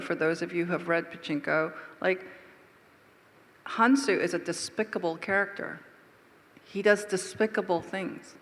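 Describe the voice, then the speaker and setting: A woman's voice: lowish voice, vocal fry, a bit bright. A woman author speaking to readers at a book talk, emphatic and full of rhetorical questions. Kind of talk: public talk answering readers. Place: lecture hall with reverb. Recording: reverberant hall sound.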